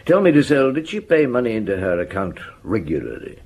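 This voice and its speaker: An actor playing a seasoned, middle-aged police chief in a radio drama, musing unhurriedly and asking terse, wry questions. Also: male voice, on the low side, sing-song, fairly quick, darkish tone, exaggerated voice. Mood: thoughtful. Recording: clear background noise.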